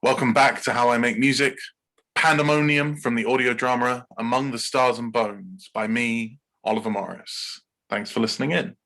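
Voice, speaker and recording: An English man reads scripted podcast lines as a guest composer. His voice sits at a medium pitch and has a bright, crisp edge. The delivery is that of presented narration, recorded in takes. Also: steady tone, moderate pace. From Bedfordshire, England.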